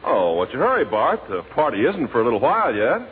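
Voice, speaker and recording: A man's voice: mid-range voice, huge pitch swings, quick pace. A man hosting a 1940s radio show with scripted introductions and banter. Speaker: announcer, master of ceremonies. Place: stage before a live audience. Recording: noisy, tinny 1940s radio broadcast.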